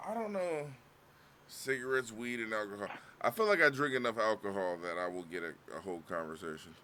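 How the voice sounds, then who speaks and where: A man in his thirties, a streamer chatting casually, joking and swearing through lively banter. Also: lowish voice, brisk, a little hiss or hum. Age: 30s. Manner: joking, profane, animated banter. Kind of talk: casual chat.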